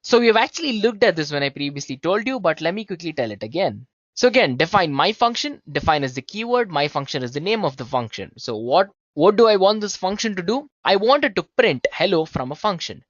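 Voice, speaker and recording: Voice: medium pitch, very animated, a little fast, no room sound, lo-fi sound. A programming instructor explaining code step by step in a conversational tutorial style, addressing learners casually and checking in with tag questions.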